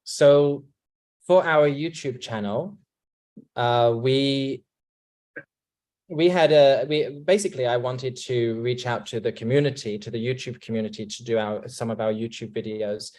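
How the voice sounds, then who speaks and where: A man's voice: mid-range voice, unhurried, a little mellow. A man from England, a company guest on a video call, speaking casually and hesitantly with many restarts.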